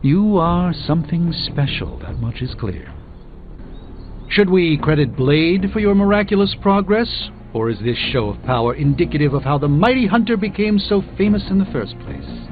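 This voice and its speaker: A man plays a middle-aged sorcerer mentor from a video game, grandiose and dryly witty. His voice sits a little high for a man and swings widely, with formal, theatrical phrasing. Prominent music plays behind the dialogue. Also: expressive, normal speed, phone-quality sound, close to an everyday voice. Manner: theatrical, eloquent, formal, wry.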